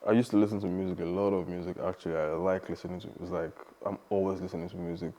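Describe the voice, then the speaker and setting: A young man, talking casually as a podcast guest in a relaxed, rambling way. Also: low-pitched, racing, a little hiss or hum. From Nigeria. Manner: relaxed, rambling, many fillers and restarts.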